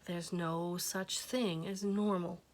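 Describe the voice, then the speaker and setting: A friendly mother patiently explaining things to curious children, voiced by a woman reading aloud. Her manner is calm and open. It is close to the reader's natural voice. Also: fairly low pitch, slow, voice pushed down.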